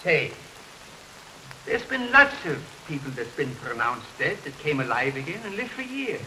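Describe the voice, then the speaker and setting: An actor in a 1930s film playing an earnest older man who explains dark matters haltingly and emphatically, repeating his phrases. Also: a little high, big pitch swings, exaggerated voice, rough recording. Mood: emphatic, explaining. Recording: hissy 1930s film soundtrack under rain. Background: rain and thunderstorm ambiance.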